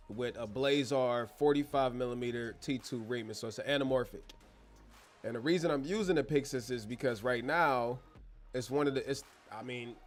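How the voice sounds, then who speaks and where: A man hosting a casual live Q&A stream from his bedroom studio, talking straight to his chat in a slangy, joking way, on a clip-on mic. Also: mid-range voice. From born in Germany, American. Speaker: livestream host. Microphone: wireless clip-on mic.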